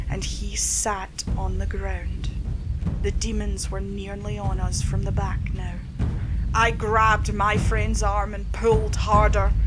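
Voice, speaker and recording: Slow-paced woman narrating horror over noise. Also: mid-range voice. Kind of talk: scripted dramatic horror narration. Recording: clear background noise, ambience.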